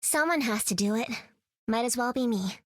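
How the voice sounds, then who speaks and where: A woman's voice: on the high side, animated, moderate pace, no room sound, clean studio sound, lightly acted. An actress playing an upbeat, confident young hero girl in a video game, casual and plucky.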